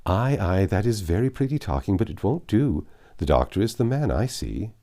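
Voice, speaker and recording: A man's voice: fairly low pitch, very animated, moderate pace, dark tone, voice pushed up. A man voicing a hearty, nosy older matron who teases and exclaims, in a reading of a classic novel. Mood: teasing. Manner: exclamatory, teasing.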